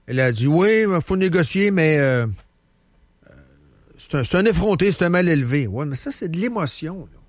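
Rambling Quebec French radio host. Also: male voice, a little high, animated, normal speed, dry recording. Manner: rambling, storytelling, many fillers. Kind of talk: casual talk radio chat.

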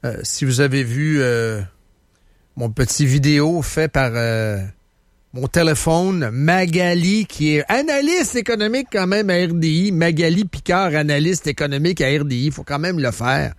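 Rambling Quebec French radio host. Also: male voice, a little high, moderate pace, no echo, clean studio sound. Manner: rambling, storytelling, many fillers. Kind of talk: casual talk radio chat.

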